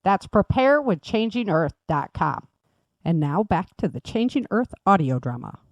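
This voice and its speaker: A woman's voice: medium pitch, very animated, a little slow, a little hiss or hum. A woman, the creator of the series, reading scripted promos in an earnest, urgent manner.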